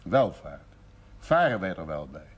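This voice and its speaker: Blunt, avuncular older gentleman. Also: sits low, very animated, measured pace, lo-fi sound, a little hiss or hum. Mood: reproachful. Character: older provincial gentleman, genial but blunt, worried father. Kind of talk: 1970s Dutch television drama acting.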